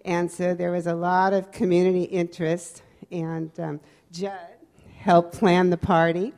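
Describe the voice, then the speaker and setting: A woman's voice: on the low side, level tone, a little slow, a little mellow. A woman from the audience politely thanking the speaker and recalling an old story. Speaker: audience member.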